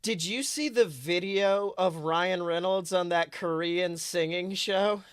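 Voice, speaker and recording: A podcast co-host chatting casually about films in an animated, emphatic way with plenty of swearing. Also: medium pitch, takes it slowly, smooth, no echo. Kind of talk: casual film discussion podcast chat.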